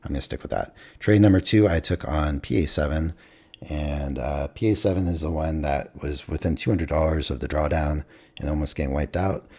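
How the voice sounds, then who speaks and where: A man reviewing his futures trades in a rambling, casual way with many fillers, his voice going hoarse. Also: a bass voice, normal speed, warm tone. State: losing his voice, clearing throat.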